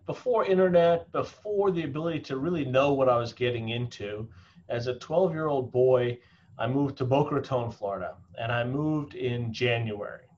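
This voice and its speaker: A man from South Africa who now speaks with an American accent, telling his story as an interview guest in an earnest, halting way with many restarts. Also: mid-range voice, lo-fi sound. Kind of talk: reflective personal storytelling.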